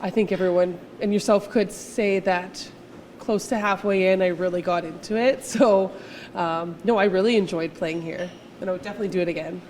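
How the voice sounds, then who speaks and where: A woman singer with a low, raspy voice, chatting easily as an interview guest. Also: fairly even intonation, fairly quick, darkish tone, a little hiss or hum. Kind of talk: casual interview chat.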